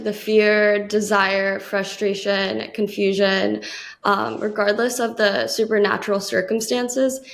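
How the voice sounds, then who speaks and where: A woman being interviewed on a podcast, answering in a measured, explanatory way. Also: medium pitch, gravelly, fairly bright tone.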